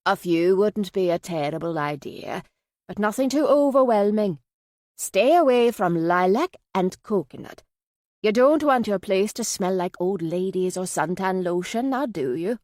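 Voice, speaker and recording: A woman's voice: mid-range voice, lively intonation, measured pace, a little mellow, dry recording, clean studio sound, close to an everyday voice. A woman voicing a cheery, affectionate Yorkshire woman in an audiobook, effusive and full of endearments. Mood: teasing, reassuring. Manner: chirpy, effusive, uses endearments.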